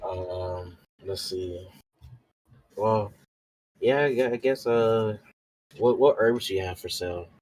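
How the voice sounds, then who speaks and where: A man's voice: lowish voice, slow, long pauses, darkish tone, low-quality recording. A man playing a tabletop roleplaying game, chatting casually and hesitantly as he thinks aloud. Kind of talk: casual chat during a game.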